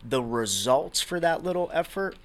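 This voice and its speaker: A man's voice: a little high, moderate pace. Easygoing man talking shop. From Brazil. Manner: relaxed, conversational, many fillers like "man" and "you know". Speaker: podcast guest, real estate investor. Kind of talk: casual interview talk.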